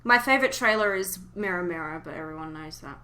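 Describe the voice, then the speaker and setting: Female podcast host, chatty and informal, with a very bright, forward voice. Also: on the low side, expressive, fast. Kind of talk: casual fan podcast chat.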